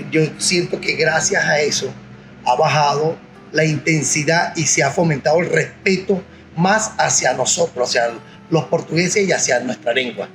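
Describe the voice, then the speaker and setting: A Venezuelan man answering interview questions in a relaxed, rambling way, repeating words and filling pauses with "este". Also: fairly high pitch, rough recording. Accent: Venezuelan Spanish. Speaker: interviewee, singer of Portuguese music.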